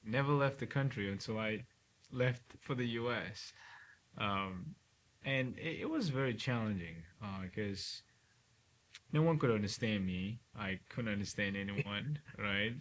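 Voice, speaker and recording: A man's voice: lowish voice, a bit bright. Reflective man from Cameroon, faint hiss. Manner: reflective, frequent "right?" tag questions. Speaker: neurosurgeon guest. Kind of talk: relaxed interview conversation.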